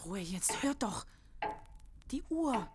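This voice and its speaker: A woman's voice: mid-range voice, big pitch swings, slow, background noise. A woman playing an anxious, protective middle-aged mother in a horror audio drama, earnest and commanding. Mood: hushed, grave.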